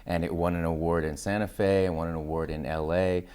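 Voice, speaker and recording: A man with a distinctly deep voice talks as a guest in an interview. His style is casual and enthusiastic, with phrases strung loosely together as he explains things. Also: fairly even intonation, a little fast, a little mellow, clear, resonant tone. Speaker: interview guest, acting studio founder and teacher.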